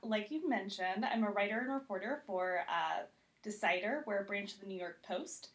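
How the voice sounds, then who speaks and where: A woman's voice: mid-range voice, normal speed. A woman chatting casually as an interview guest, self-deprecating and humorous, peppering her talk with "like". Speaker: podcast guest, TV critic.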